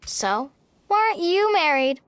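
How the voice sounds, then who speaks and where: A woman's voice: extremely high pitch, very animated, unhurried, a bit bright, soft background music, full character voice. A woman voicing a blunt, cheeky, matter-of-fact young child in a cozy video game. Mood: blunt, insistent.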